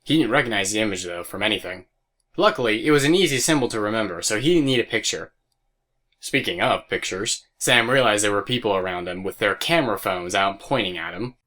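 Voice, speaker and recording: A man's voice: on the low side, animated, brisk, sharp tone. A man narrating an audio drama as a scripted story. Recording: music bed behind voice.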